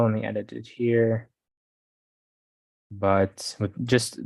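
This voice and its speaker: A man's voice: fairly low pitch, dry recording, poor audio. A man hosting a casual coaching livestream, rambling along and often checking in with his listeners. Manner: rambling, often tags sentences with "okay" and "guys". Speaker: host of a business coaching livestream.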